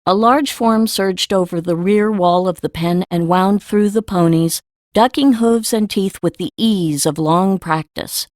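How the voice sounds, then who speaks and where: A woman's voice: medium pitch, unhurried, darkish tone, no room sound. Woman narrating a story, very dry sound. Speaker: audiobook narrator.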